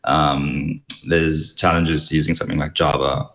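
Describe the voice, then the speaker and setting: A man from Zimbabwe, answering interview questions in a halting way. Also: extremely low pitch, little pitch movement, creaky, a bit bright. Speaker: podcast guest, software developer.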